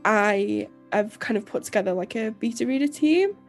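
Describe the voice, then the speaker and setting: A young woman chats casually as a podcast co-host, her voice croaky and nasal from illness. There is a gravelly fry at the bottom of her voice. She rambles, restarting sentences and filling gaps with fillers, over faint background music. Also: on the high side, expressive, moderate pace. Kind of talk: casual chat.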